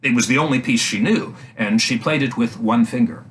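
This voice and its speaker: A man reading a fairy tale aloud for young children as a storyteller, in an animated style. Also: mid-range voice, brisk. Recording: faint background noise.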